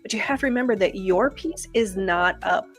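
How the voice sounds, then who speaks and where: A woman co-hosting a podcast, chatty and animated with many fillers, tired and losing her voice. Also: mid-range voice, normal speed, faint music behind. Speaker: podcast co-host. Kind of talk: casual podcast conversation.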